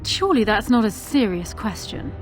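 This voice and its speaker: A self-assured fantasy-game queen with a high-pitched voice. She speaks in formal, assertive, regal phrases, proud and shrewd, with faint music behind her. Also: very animated, moderate pace. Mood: scornful certainty. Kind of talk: video game voice acting.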